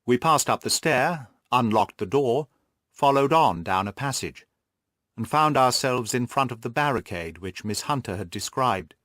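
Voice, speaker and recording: A male narrator reads classic-style literary prose for an audiobook. His mid-pitched voice moves with very lively intonation, captured close and dry. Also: normal speed, no room sound.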